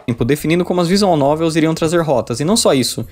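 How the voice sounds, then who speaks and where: A man's voice: a little high, expressive, rapid-fire, a little mellow. A Brazilian man delivering scripted narration for a video essay. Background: music.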